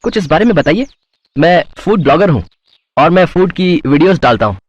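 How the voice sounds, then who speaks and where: Man playing a high-voiced, enthusiastic food blogger. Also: sing-song, fairly quick, husky, no echo, highs cut off. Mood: helpful. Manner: polite, enthusiastic, presenter-like. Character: cheerful male food blogger, family man. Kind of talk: Hindi moral-story cartoon voice acting.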